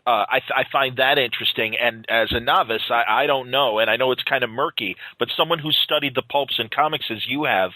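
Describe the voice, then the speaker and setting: Male podcast host: bright, crisp-edged mid-range voice, close and dry, politely interjecting, deferential to the guest. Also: medium pitch, brisk, hardly any pauses, no echo, poor audio. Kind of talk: conversational interviewing.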